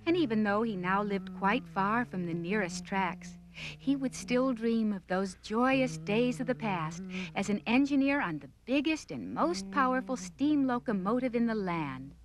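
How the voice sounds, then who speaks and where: A woman's voice: mid-range voice, expressive, measured pace. A woman narrating a scripted children's cartoon story.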